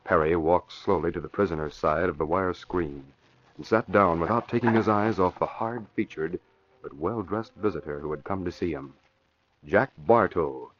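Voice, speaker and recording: A man's voice: low voice, moderate pace. An American man announcing and narrating a 1940s radio show in a dramatic, emphatic, declamatory scripted style. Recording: muffled old radio broadcast recording.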